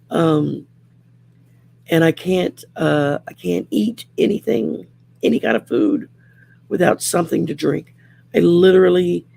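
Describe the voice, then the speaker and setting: A middle-aged woman with a growly, gravelly voice from throat surgery, chatting casually on a livestream while pulling tarot cards, rambling and restarting often. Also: low voice, darkish tone. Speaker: host and tarot card reader. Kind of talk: casual livestream chat with card reading.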